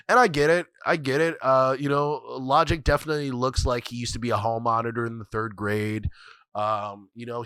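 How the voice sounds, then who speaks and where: A man with a stuffy, scratchy voice from a cold hosts his podcast. He rambles and repeats himself, swearing freely, at a middling pitch. The sound is close and dry. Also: fairly bright tone, no room sound. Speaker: podcast host. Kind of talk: casual profane solo commentary.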